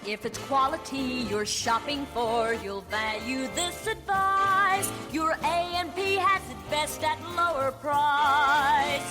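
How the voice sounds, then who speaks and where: Slow, high-voiced woman in vintage radio ad. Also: music in the background.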